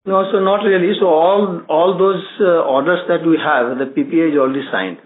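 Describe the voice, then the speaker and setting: A man, a company executive, answering analysts on an earnings call in a halting way, full of "uh" fillers. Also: on the high side, steady tone. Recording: tinny phone line with faint noise.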